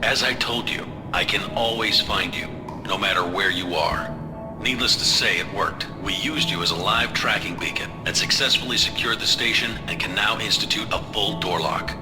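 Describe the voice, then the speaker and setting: A man playing an unapologetic spy agency chief in an audio drama, measured, controlled and authoritative. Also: fairly low pitch, over music, lightly acted. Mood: matter-of-fact.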